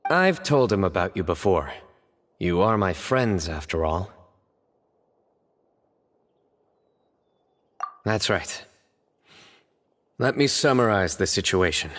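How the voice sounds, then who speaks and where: Game role: stern young male detective, low-pitched, measured, formal, deadpan, reverberant sound. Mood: composed. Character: serious, composed young male investigator, former student of scholar. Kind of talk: video game voice acting.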